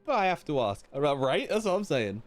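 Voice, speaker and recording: Animated, playful game streamer with swooping pitch. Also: normal speed, soft background music. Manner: playful, mock-dramatic interjections. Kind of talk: casual live reaction commentary. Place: live stream with game music behind.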